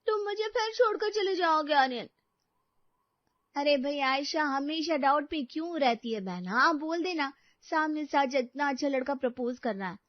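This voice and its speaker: High-pitched, bubbly young sister-in-law played by a woman. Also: lively intonation, moderate pace, dry recording, lo-fi sound. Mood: excited.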